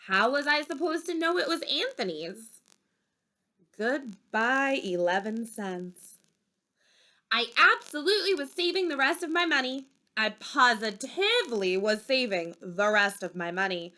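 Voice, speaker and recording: A woman reading a children's story aloud expressively, with dramatic pauses and emphasis. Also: on the high side, sing-song, bright, forceful tone. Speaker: storybook reader.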